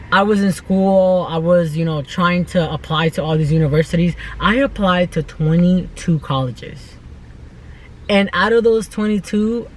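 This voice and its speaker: A woman's voice: on the low side, a little hiss or hum, poor audio. Woman in her twenties, casual vlogger, unscripted, informal, earnest, emphatic, slangy. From United States, born in El Salvador. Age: 20s. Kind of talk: casual unscripted vlog talk to camera.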